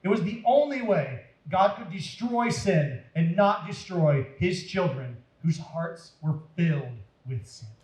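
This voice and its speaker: A man reads aloud to young listeners in a roomy, echoing space. His voice sits slightly high, and he takes his time. The delivery is deliberate and dramatic, shaped for children. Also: darkish tone. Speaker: children's storyteller at a church. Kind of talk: expressive read-aloud to children. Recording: roomy echo.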